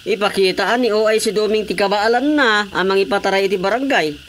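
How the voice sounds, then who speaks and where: Sneering village councilor, noisy recording. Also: male voice, high voice, fast, a bit bright. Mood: mocking. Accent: Filipino, Ilocano. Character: ambitious, mocking male village councilor. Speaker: radio drama actor. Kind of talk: radio drama comedy dialogue.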